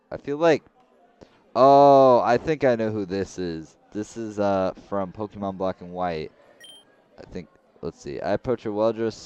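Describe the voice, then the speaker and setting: A man's voice: low voice, darkish tone, clear, resonant tone. A young man reading a visual novel aloud and making wry, joking asides. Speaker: gaming video creator. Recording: slightly dull lo-fi recording.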